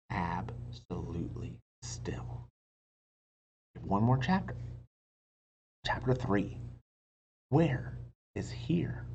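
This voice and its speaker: A man reading a children's book aloud dramatically, stretching words and dropping into hushed whispers, with teacherly asides to his listeners. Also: on the low side, dull audio. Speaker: read-aloud storyteller and teacher.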